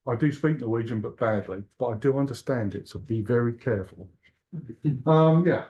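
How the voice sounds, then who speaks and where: A man's voice: mid-range voice, moderate pace, dull audio. An Englishman in his sixties giving a humorous, self-deprecating keynote talk at a conference. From England. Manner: joking, self-deprecating, chatty. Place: conference hall.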